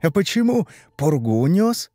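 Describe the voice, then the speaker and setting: A man's voice: medium pitch, very animated, slow, a little mellow, no room sound, studio quality, soft background music, pitched above the performer's own voice. A man voicing a smug brother-in-law who talks in a mocking, sugary, placating way, in an audiobook story. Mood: sweetly mocking. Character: smug sneering brother-in-law, falsely soothing slacker.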